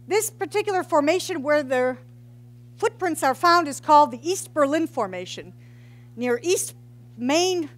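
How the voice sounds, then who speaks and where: High-voiced woman giving a geology talk. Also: a bit bright. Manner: informal, joking, quizzes the audience. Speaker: geology professor. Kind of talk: public science talk. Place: large hall with brick walls. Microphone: headset mic.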